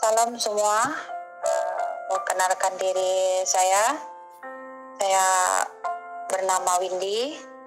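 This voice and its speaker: Lady telling her testimony slow and unsure, clear music under her. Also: mid-range voice, steady tone, low-quality recording. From Medan, Indonesia. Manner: slow, hesitant storytelling. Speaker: migrant worker giving a testimony. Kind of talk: personal testimony.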